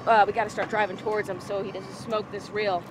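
A woman in her thirties from Florida giving excited fishing commentary to the camera from an open boat at sea, bubbly and exclamatory. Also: medium pitch, fast talker, background noise. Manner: bubbly, exclamatory, talks to viewers as guys. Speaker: fishing vlogger. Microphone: action camera held close.

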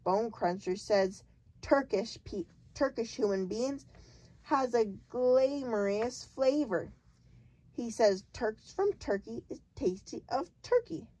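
Lady reading aloud and putting on a big booming giant guy, loud and jolly, slow and drawn out, twisting his words into silly made-up ones. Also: animated, low-quality recording. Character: huge booming male giant, jolly and ungrammatical.

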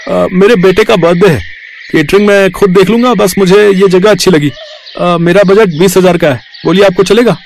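A man's voice: sits high, moderate pace, creaky, slight background noise. A man playing a courteous, businesslike city customer in a cartoon story, mixing in English words. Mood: polite.

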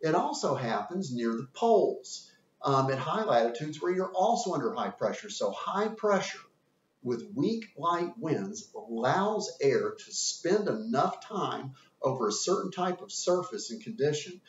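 A man with a light Southern US accent explains things in a folksy, animated teaching manner, his voice swinging widely in pitch. He is captured from a distance by a camera in an echoey room, and the sound is somewhat dull. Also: unhurried. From American South. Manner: animated, folksy, gesturing with hands. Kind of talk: classroom lecture. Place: indoor room with whiteboard.

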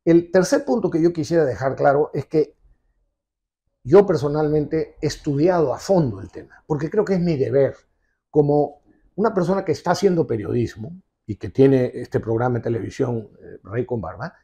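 A man in his sixties delivers forceful opinion commentary. His voice is dark, gravelly and husky. He talks with emphatic insistence, recorded close on a lapel mic in an office. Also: on the high side, lively intonation, normal speed, highs cut off. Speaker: TV show host and commentator. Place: indoor office with leather sofa.

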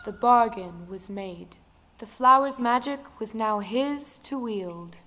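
A woman's voice: on the high side, takes it slowly, a bit bright. A woman telling a folktale as dramatic audio play narration. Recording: reverberant, faint music underneath.

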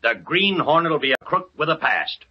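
A brash American city editor from a vintage radio drama, barking slang with theatrical impatience. His voice is husky and swings widely, on a muffled old recording. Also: fairly high pitch, expressive, a little fast. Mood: gleeful.

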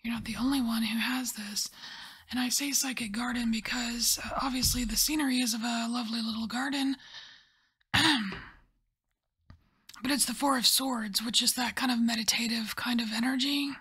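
A woman softly whispering and musing as she lays out and reads tarot cards in an ASMR style. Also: a little high, steady tone, slightly rough. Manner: soft whispering, musing and unhurried. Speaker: tarot reader.

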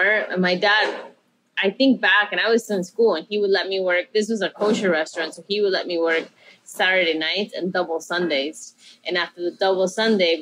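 A woman's voice: on the low side, fairly bright tone, slight background noise, poor audio. A woman from Peru, a chef and interview guest, telling her story in a rambling, self-correcting, upbeat way.

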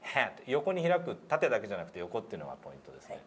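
A man's voice: on the low side, huge pitch swings, very fast, a bit bright. A man giving a hands-on pronunciation lesson as instructor, in a polite, encouraging way. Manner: polite, encouraging, often chuckling. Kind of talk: hands-on lesson, demonstrating single sounds. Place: meeting room indoors. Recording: clear background room noise.

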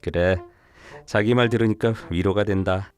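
A man's voice: fairly low pitch, steady tone, normal speed, clear, resonant tone, natural voice. Man acting a weary, dutiful husband. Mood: worried. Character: dutiful, weary husband, devoted son to his mother. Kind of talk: dramatized story video acting. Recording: music behind the voice.

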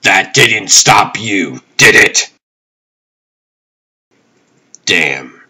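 A man voicing a grim, resolute masked vigilante hero in a comic dub, grave and deliberate. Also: low voice, lively intonation, breathy edge, heavily acted. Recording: loud music behind the voice.

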